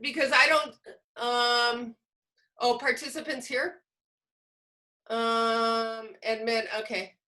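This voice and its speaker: Bright, high-voiced woman giving a workshop. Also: steady tone, slow, long pauses. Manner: explanatory, poses questions and answers them. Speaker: teacher trainer presenting an online workshop. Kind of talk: informal live presentation to teachers. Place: online video meeting. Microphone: video call microphone.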